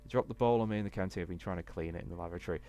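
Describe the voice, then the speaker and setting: A meek young animal schoolboy from a visual novel, read by a man, subdued and apologetic. The voice is on the low side, with music underneath. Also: very fast, creaky, mellow. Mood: defensive, meek. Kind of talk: visual novel character reading.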